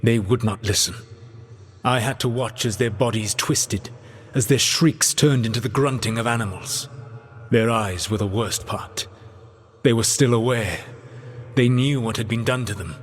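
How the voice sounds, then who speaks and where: An actor playing a grave, regretful ancient elven god in a video game, speaking in a measured, formal, solemn way. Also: male voice, medium pitch, husky. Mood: grim, haunted. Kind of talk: dramatic video game character dialogue. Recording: long echoing reverb on the voice.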